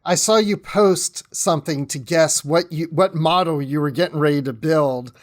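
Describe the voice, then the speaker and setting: A man's voice: a little high, moderate pace. A man chatting casually as a guest on a podcast.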